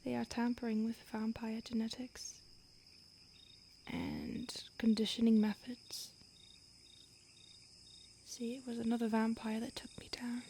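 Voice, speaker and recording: A woman's voice: a little high, animated, a little slow, creaky, long pauses, warm tone, slight background noise. A woman voicing an ancient female vampire on the run, speaking in an urgent whisper in an audio roleplay. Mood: hushed urgent.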